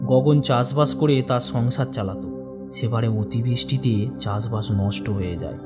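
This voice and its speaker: A man's voice: medium pitch, moderate pace, lightly acted. Guy as a middle-aged cartoon village uncle, mid voice, familiar elder telling a story, music behind. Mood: grave storytelling. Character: older village uncle, fish trader, family friend, concerned. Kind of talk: animated horror cartoon dialogue and storytelling.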